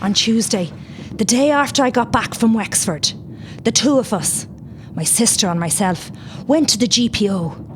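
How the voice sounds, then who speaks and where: Woman as a high-voiced determined rebel witness. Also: expressive, moderate pace, noisy background, lightly acted. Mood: composed. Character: determined young rebel woman recounting her errand. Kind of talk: dramatised first-person witness narration.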